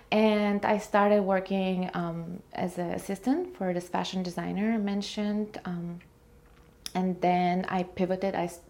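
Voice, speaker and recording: A woman's voice: on the low side, a little mellow. A woman from Bulgaria, a guest entrepreneur telling her story in a casual interview, earnest and upbeat with frequent ums and restarts.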